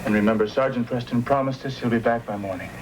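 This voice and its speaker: An actor playing a protective, reassuring husband in an old-time radio adventure drama. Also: male voice, mid-range voice, fast talker, noisy background, close to an everyday voice. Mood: grim but hopeful. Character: protective husband, steady and reassuring under danger. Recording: old radio drama with loud music behind.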